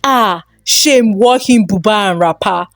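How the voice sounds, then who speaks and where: A woman storyteller with a Nigerian accent, giving an expressive, dramatic narration of a scripted folktale. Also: medium pitch, a little slow, no echo, studio quality.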